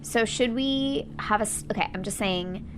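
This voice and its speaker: A woman's voice: medium pitch, normal speed, noisy background. A woman playing a tabletop game, chatting casually and reading aloud as one of the players.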